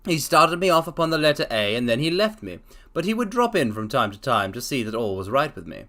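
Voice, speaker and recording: A man's voice: mid-range voice, very animated, fairly quick, pushed and bright. A man voicing an earnest middle-aged shopkeeper who tells his own story plainly.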